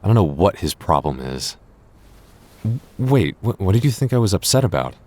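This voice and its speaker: A man's voice: low-pitched, sing-song, moderate pace, darkish tone. A man playing a jealous, apologetic boyfriend in an audio roleplay, speaking earnestly with hesitant stammers. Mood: incredulous, frank. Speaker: voice actor.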